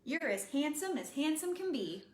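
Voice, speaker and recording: A woman reading the part of a spirited, caring teenage girlfriend, teasing and unhurried, in an echoey room. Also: high-pitched, sing-song. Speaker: reader.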